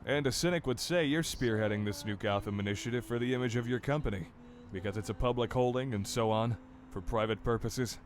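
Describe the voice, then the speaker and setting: A man playing an earnest, persuasive mayoral candidate in an audio drama, speaking with sincere, measured polish. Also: medium pitch, natural voice.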